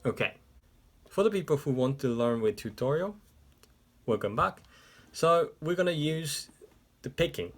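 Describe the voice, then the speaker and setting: Man, Japanese native speaker, ukulele teacher, patient step-by-step explaining, unhurried, indoors at home. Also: on the high side, expressive, faint music behind. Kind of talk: music tutorial instruction. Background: ukulele playing.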